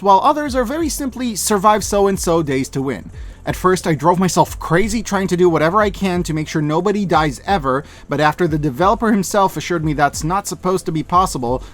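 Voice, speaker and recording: A man's voice: high voice, lively intonation, brisk. A man narrating a scripted review with casual asides, in a conversational, emphatic way. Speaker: reviewer presenting a yearly roundup. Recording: voice-over with music behind. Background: music.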